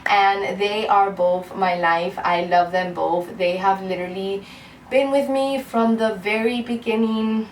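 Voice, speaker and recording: A woman's voice: mid-range voice, steady tone, unhurried, recorded from a distance. A woman in her twenties from Cuba, chatting candidly to her camera at home in a rambling, heartfelt way. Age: 20s. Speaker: beauty vlogger. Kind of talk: casual chat to camera. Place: room at home. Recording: roomy echo, off-mic, faint hiss.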